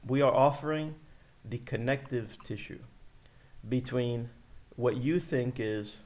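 A man's voice: mid-range voice, slow, darkish tone. Easygoing man co-hosting a podcast. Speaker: podcast co-host. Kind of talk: casual chat.

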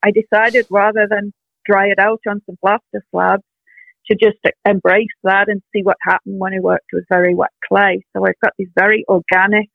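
Gravelly older woman on a phone line. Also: medium pitch, dry recording. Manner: reflective, earnest, many soft ums. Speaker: artist guest. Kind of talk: casual interview chat. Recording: tinny dry phone line.

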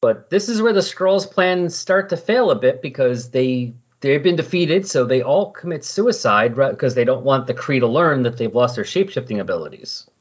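Male podcast host, husky voice, casual explanatory recapping, dull lo-fi sound. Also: medium pitch, expressive, normal speed, hardly any pauses. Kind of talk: casual comic book discussion. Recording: dull lo-fi voice recording.

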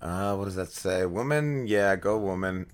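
A man's voice: low-pitched, expressive, normal speed. A man hosting a comedy radio show with casual, joking banter.

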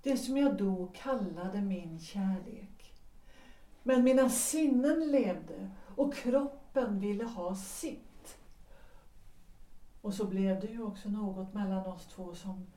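An actress voicing Gertrud, a tired wife leaving her husband, speaking quietly and with resignation in an audio drama. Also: mid-range voice, distant mic, natural voice. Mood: weary resolve. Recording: roomy, off-mic.

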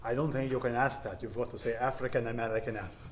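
A man playing an earnest, easily offended Spanish adventurer in a put-on Spanish accent, halting and scolding in a tabletop game. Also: mid-range voice, fairly even intonation, a little hiss or hum, lightly acted.

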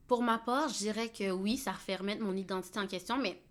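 A woman's voice: mid-range voice, fast talker. A woman from Montreal, candidly sharing personal stories in a casual chat. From Montreal, Quebec.

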